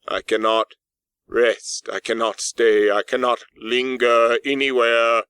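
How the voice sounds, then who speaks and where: A mournful ghost in chains, acted by a man, declaims very slowly and solemnly. The words are drawn out with woe, as in a dramatic storybook reading, and the recording is dry. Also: medium pitch, flat delivery, a bit bright, clean tone, no echo. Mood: mournful, anguished.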